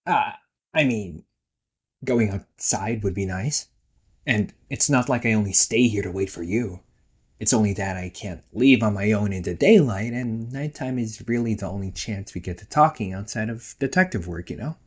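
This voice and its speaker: A man's voice: on the low side, moderate pace, a little mellow, slightly rough. A man playing a playful, nagging young ghost brother in a casual roleplay audio drama, teasing and chatty. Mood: chiding. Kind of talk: casual one-sided roleplay audio acting.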